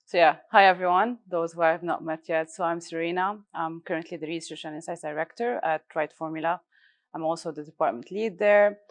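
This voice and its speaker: A woman in her thirties, originally from Lebanon, answers questions at a live industry event. Her voice sits a little low, and she talks fluently and explains in detail, with a few light fillers. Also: steady tone, fairly quick. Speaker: guest speaker, research director. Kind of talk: live panel interview.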